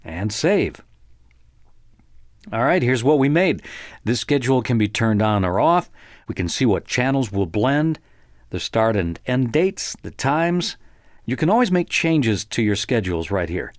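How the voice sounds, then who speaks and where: An American man narrating a software tutorial conversationally, explaining step by step in an informal, encouraging way. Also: medium pitch, big pitch swings, unhurried, dry recording, studio quality.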